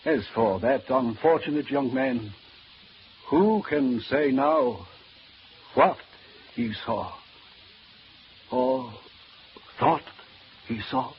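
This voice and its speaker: An actor playing an ailing, courteous and quietly evasive doctor in a 1940s radio drama, speaking formally with measured pauses. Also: male voice, medium pitch. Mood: grave, evasive. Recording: muffled old radio recording, faint noise.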